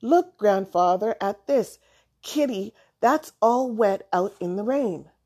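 A woman voicing a talkative young boy in a children's storybook, eager, dramatic and wheedling, often loud and exclamatory. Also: medium pitch, lively intonation, takes it slowly. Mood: excited pleading.

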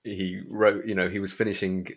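A man hosting a podcast, talking casually about books in a hesitant, halting way. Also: low voice, level tone. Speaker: podcast host. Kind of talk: casual book discussion chat.

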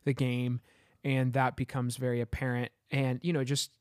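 A man talks at length in a casual podcast chat, often searching for words and filling gaps with "you know" and "I mean". His voice sits at an ordinary middle pitch. The recording is close and dry. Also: level tone, moderate pace, a little mellow, clear, resonant tone, no echo. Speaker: podcast co-host.

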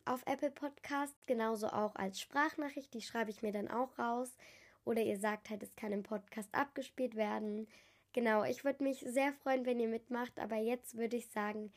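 A teenage girl hosting her own podcast, chatting earnestly to her listeners while stuffed up with a cold. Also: a little high. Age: teens. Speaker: podcast host. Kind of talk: casual solo podcast talk.

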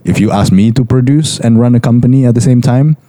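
A man's voice: medium pitch, quick pace, mellow, no room sound. A man with a Malaysian accent co-hosting a podcast, chatting casually and joking as he reads listener emails aloud. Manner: joking, mixes in Malay words. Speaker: podcast co-host.

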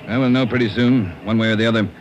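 A noisy old radio recording has an actor as a male chief of detectives. He is lowish in pitch, fast and clipped, commanding and brusque. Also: fairly even intonation, muffled recording, lightly acted. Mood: grim, stern. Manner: clipped, authoritative. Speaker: actor in a radio police drama. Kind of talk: 1940s radio acting.

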